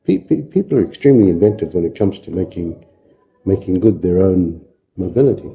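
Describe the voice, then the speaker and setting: A man's voice: deep voice, expressive, a little fast, vocal fry, narrow, tinny audio. An older man from Zimbabwe, a shop owner talking philosophically in an interview and repeating himself as he thinks. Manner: philosophical, asks rhetorical questions, stammering repeats. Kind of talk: casual interview.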